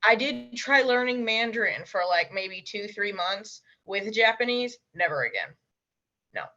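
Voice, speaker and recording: Bright-voiced young woman, blunt and emphatic. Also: mid-range voice, animated, muffled recording. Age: young adult. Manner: blunt, emphatic, quick asides. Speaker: interview guest, language learner. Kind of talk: casual interview chat.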